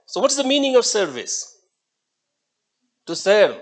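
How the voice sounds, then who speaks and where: Lively Indian man lecturing a class. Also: high voice, a little slow. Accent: Indian English. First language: Hindi. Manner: asks the class questions, chuckles between points.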